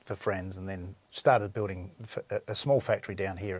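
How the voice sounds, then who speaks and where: A man's voice: deep voice, fairly even intonation, normal speed, darkish tone, husky, no echo. An Australian man in his sixties talking conversationally and telling anecdotes as an interviewed company co-director.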